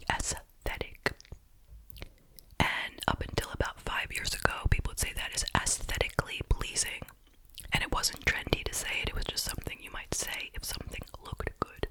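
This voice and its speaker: Whispering woman, breathy ASMR voice. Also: bright, forceful tone, poor audio. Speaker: host reviewing snacks. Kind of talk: whispered ASMR food review.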